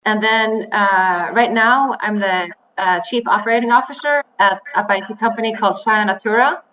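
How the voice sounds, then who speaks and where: A woman, answering interview questions in English with many pauses, fillers and restarts. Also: mid-range voice, fairly bright tone. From Iceland. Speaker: interview guest, biotech executive. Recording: slightly dull, faint noise.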